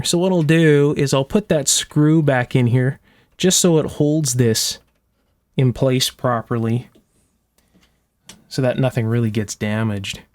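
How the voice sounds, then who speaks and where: A man's voice: mid-range voice, darkish tone, dry recording. Man explaining repair work, dry close sound. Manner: casual, methodical step-by-step explaining. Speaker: host of an electronics restoration channel. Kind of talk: hands-on workshop demonstration, thinking aloud. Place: indoor electronics workshop.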